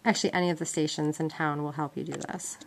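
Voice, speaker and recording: A woman's voice: low-pitched, steady tone, fast talker, vocal fry. A woman gives an informal, practical step-by-step demonstration in a conversational, explanatory way. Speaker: instructor demonstrating a gadget. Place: indoors at a wooden table. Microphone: handheld camera mic, off screen. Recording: noisy amateur camcorder sound with clicks and beeps.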